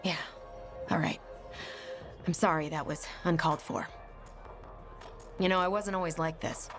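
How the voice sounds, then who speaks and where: World-weary woman, video game dialogue, low-pitched, blunt, resigned, faint music. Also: fairly even intonation, a little slow. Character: prickly, world-weary woman, failed baker.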